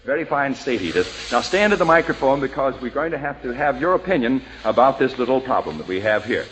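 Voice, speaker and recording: Chipper old-time radio quiz host guy, bouncy lively voice, faint hiss. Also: mid-range voice, fast, rough recording. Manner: jovial, teasing, bantering. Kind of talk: 1940s radio quiz hosting.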